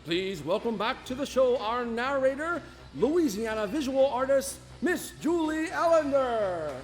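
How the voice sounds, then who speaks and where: A man's voice: very high-pitched, animated, unhurried, over music. A man hosting a radio drama show, delivering a scripted introduction as announcer.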